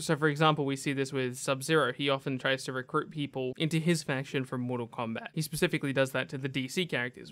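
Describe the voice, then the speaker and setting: Chatty young man, medium-pitched gaming host. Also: fairly bright tone. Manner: chatty, slangy, effusive. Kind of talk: casual enthusiastic commentary.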